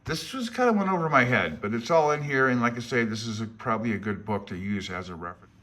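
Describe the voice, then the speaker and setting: A man's voice: mid-range voice, animated, fast, fairly bright tone. A man giving a casual, rambling commentary on a book, plain-spoken and self-deprecating, speaking as a hobbyist rather than an expert. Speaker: amateur radio hobbyist and vlogger. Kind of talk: casual rambling commentary while paging through a handbook.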